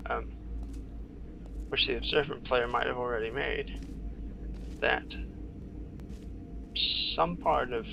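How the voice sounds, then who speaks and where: A man's voice: medium pitch, gravelly. A man giving casual playthrough commentary, musing and talking to himself as he plays. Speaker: screenwriter giving game commentary. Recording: noisy home recording with game sounds.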